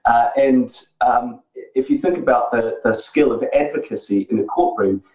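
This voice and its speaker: Kiwi man in his forties, video-call guest, halting and measured, dull lo-fi sound. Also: mid-range voice, a little mellow. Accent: New Zealand. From New Zealand. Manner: measured, many fillers and restarts. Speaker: guest, tech executive. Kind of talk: remote interview. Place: dark home office. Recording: roomy, distant, dull lo-fi sound.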